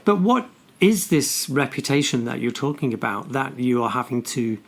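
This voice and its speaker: A man's voice: mid-range voice, sing-song, moderate pace. A man co-hosting a podcast, asking questions and making dry, teasing jokes. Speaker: podcast co-host. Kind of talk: casual conversational chat.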